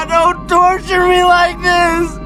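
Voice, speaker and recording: An actor playing a male doctor in an audio drama, tormented by pain and despair, speaking haltingly and pleadingly over music. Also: very high-pitched, heavily acted, poor audio. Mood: anguished pleading. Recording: music behind the voice.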